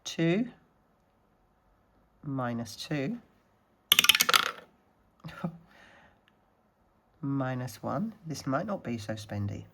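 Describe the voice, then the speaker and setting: Snuffly low-voiced woman counting savings. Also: big pitch swings, drawn-out words, long pauses, heavy background noise. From Scottish Highlands. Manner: chatty, counting money aloud. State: snuffly from a cold. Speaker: budgeting vlogger. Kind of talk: casual talk-through of savings challenges.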